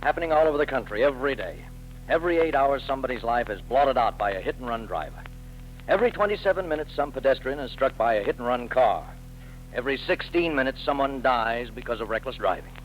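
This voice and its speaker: A man's voice: medium pitch, expressive, quick pace, faint music behind, close to an everyday voice, rough recording. A man playing a grave police captain. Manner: grave, matter-of-fact. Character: weary police captain, middle-aged man. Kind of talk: 1930s radio drama.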